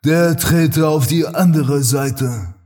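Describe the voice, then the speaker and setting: Man acting a slow, husky cult leader. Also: a little high, dark tone, far from the mic, faint music behind. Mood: solemn appeal. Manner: slow, solemn, declamatory. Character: male cult leader, calm, stern and commanding orator. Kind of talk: fan audio drama acting.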